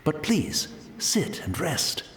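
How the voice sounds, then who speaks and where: An actor playing a gentle, humble old tea master in a video game, deferential and wistful, rambling as he talks. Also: a little high, huge pitch swings, slow pace, roomy sound, heavily acted. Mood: humble, welcoming.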